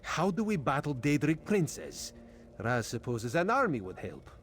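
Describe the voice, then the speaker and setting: A roguish cat-man from a fantasy game. He talks with a very animated, droll lilt, theatrical and wry, over faint background noise. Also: a little high, measured pace. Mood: wry, musing. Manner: third-person speech, droll, theatrical. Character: roguish, wry cat-man agent, speaks of himself by name. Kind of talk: fantasy video game voice acting.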